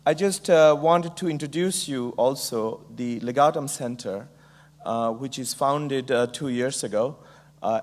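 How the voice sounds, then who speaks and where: A middle-aged man from Bangladesh with a Bangladeshi accent, giving a conference keynote speech in a measured way with frequent ums and uhs. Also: medium pitch, a little mellow. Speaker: keynote speaker.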